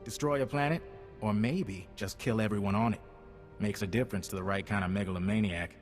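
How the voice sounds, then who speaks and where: A man's voice: lowish voice, fairly quick, close to an everyday voice. Guy doing a unflappable undercover agent in a game, dry and casual, reverby room, bit of music. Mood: wry briefing. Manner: wry, casual, confident. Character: wry, unflappable male undercover intelligence agent. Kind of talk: video game voice acting.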